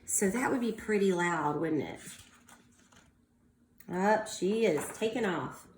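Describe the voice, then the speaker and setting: A woman reading a picture book aloud to young children as a teacher, expressive and drawn-out, pausing to put questions to them. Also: mid-range voice, a little hiss or hum. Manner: animated, drawn-out delivery, prompting young listeners. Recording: roomy, echoing indoor sound.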